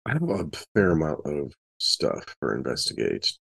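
A man's voice: rumbling low, huge pitch swings, moderate pace, creaky, fairly bright tone, breathy edge. A man playing a tabletop role-playing game, chatting casually and thinking aloud as he plans his moves.

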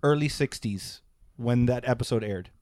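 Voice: medium pitch, normal speed, a little mellow, no room sound. A speaker in their thirties in a casual chat, matter-of-fact and checking facts. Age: 30s. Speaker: podcast co-host.

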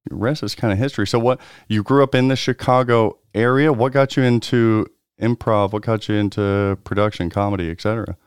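A man's voice: on the low side, fairly even intonation, brisk, hardly any pauses, smooth, no room sound, clean studio sound. A man hosting a pinball podcast, announcing in a showy, hype style before settling into casual chat. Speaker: podcast host.

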